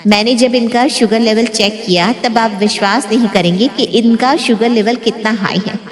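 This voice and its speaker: Woman playing authoritative lady doctor, stern, high voice, scripted drama delivery, strong echo. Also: normal speed, lightly acted. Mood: stern, advising. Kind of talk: scripted story drama dialogue. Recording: echoey room with long reverb.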